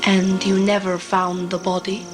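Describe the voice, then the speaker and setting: An actress playing a determined, guarded traveller on an expedition, formal and curt, in a sci-fi audio drama. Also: female voice, medium pitch, level tone, unhurried, music in the background, lightly acted. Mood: serious. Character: determined, guarded female client on a jungle expedition. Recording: noisy amateur recording with background ambience.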